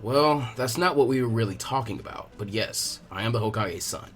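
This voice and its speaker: A man performs a relaxed adult ninja teacher for a storybook reading, pitched well down from his own voice. He sounds wry and unhurried, a little husky, with music underneath. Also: close to an everyday voice. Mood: dry, modest. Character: laid-back bearded adult male ninja instructor, wryly amused.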